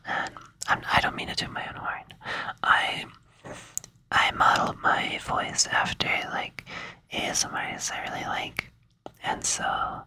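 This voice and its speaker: A young man whispering in a rambling, playful ASMR style, with many fillers. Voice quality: soft whisper. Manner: rambling, playful, says "like" a lot. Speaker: ASMR creator.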